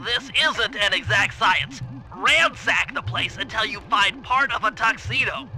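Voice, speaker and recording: A man's voice: sits high, lively intonation, unhurried, bright, forceful tone, full character voice, rough recording. A man voicing a nerdy, cautious turtle mastermind in a cartoon game, with a nasal voice and precise, wordy, earnest briefings. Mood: apologetic, earnest. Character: nerdy, cautious male turtle mastermind, planning heists over radio.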